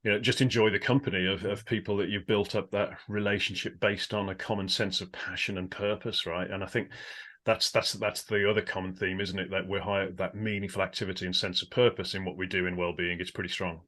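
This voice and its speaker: A man hosting a podcast in casual interview chat, a little hoarse today, friendly and prone to tripping over his words. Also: sits low, flat delivery, fairly quick, fairly bright tone. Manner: friendly, trips over words, repeats himself. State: slightly hoarse. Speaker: podcast host.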